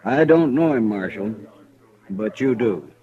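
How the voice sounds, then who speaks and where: An actor playing a quiet, grave frontier townsman in a 1950s radio western. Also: lowish voice, expressive, a little slow. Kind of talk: 1950s radio drama acting. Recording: muffled vintage radio recording.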